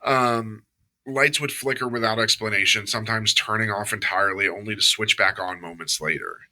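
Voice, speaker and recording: A man with a Southern US accent, a podcast co-host reading a story aloud in a steady, measured way between casual chat. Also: fairly low pitch, rough recording.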